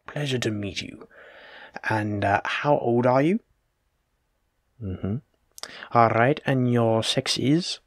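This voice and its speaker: A man's voice: medium pitch, dry recording, clean studio sound. A man playing a courteous, evasive, self-important plague doctor in an audio roleplay, speaking formally and fussily in old-fashioned wording. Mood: clinical, courteous. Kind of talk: hobby audio roleplay acting, addressed to the listener.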